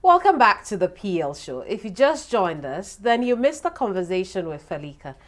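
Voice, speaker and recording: Animated woman TV talk show host. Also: mid-range voice, normal speed, soft background music. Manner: upbeat, animated.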